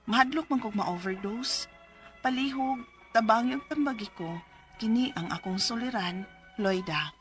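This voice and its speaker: A woman's voice: fairly high pitch, a little slow, a bit bright, faint music behind. An actress playing a worried middle-aged Cebuano mother, reading her letter of troubles in an anxious, questioning, emphatic way for a radio drama. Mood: anxious, pleading.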